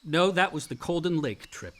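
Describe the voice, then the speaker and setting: An actor playing a sardonic, easily exasperated young adult son in a naturalistic radio play, speaking in casual, slangy, profane phrases. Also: male voice, a little high, expressive, moderate pace, natural voice. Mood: casual, confiding.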